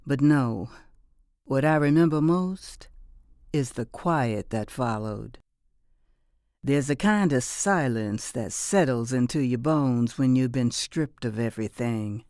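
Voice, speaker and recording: An actress playing a reflective, weary but resilient former prisoner and mother in middle age, delivering an intimate, deliberate audio drama monologue. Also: a bass voice, expressive, a little mellow, dry recording, studio quality, full character voice. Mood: somber, reflective.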